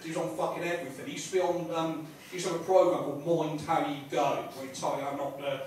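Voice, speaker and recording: A man with an English accent doing rambling, sweary stand-up, halting and repeating himself as he digresses in front of a live audience. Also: a little high, little pitch movement, darkish tone. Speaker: stand-up comedian. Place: small live comedy venue in a pub. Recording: roomy, noisy, distant live club recording. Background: audience laughter and room noise.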